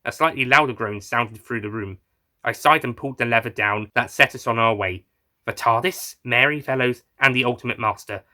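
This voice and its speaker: A man narrates a first-person tale in a bright, forceful voice. He sits at an average pitch and reads from a script as a storyteller. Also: fairly quick. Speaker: writer and narrator reading own fan fiction.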